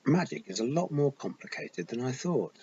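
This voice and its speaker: A man's voice: medium pitch, moderate pace, pitched above the performer's own voice, natural voice. A man voicing a thoughtful young girl who speaks earnestly. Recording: muffled with steady hiss.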